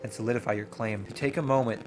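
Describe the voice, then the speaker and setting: A man playing a tabletop game, reading narration aloud and thinking out loud in excitable, slangy asides. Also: fairly low pitch, fast talker, noisy background. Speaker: player and host of a gaming channel. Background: music.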